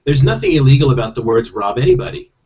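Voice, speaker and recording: A pedantic toad lawyer from a storybook played by a man, explaining with measured, legalistic patience. The recording is slightly dull. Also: mid-range voice, a little mellow, husky, close to an everyday voice. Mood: patient pedantry.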